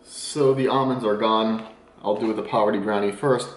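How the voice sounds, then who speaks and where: A man's voice: on the low side, steady tone, normal speed. Upbeat young man in an echoey room. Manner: upbeat, explains nutrition while eating. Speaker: fitness vlogger. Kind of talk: casual food vlog. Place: small room at home, then home kitchen.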